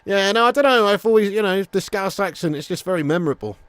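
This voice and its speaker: Male football stream host: high-pitched, matey and sweary, bantering with chat, close dry sound. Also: animated, fast talker, fairly bright tone, clean tone, no echo. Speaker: livestream host of a football watch-along. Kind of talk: casual reactive match commentary and chat.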